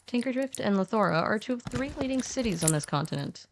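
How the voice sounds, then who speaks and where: A woman's voice: fairly high pitch, expressive, fast, slight background noise. A woman narrating a story conversationally, informal and adding her own comments as she goes.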